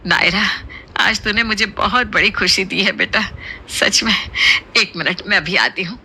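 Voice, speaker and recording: A woman voicing a warm, doting middle-aged Indian mother-in-law who chides playfully and exclaims affectionately in a Hindi cartoon family story. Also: mid-range voice, moderate pace, breathy edge, full character voice. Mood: joyful, grateful.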